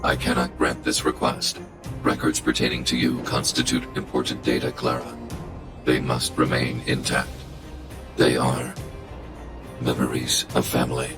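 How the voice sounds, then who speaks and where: Very deep, monotone-leaning robot guardian. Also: male voice, moderate pace, music in the background. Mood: flat, protective. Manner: mechanical, formal, clipped report phrasing. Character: huge stoic robot guardian, male, fiercely protective.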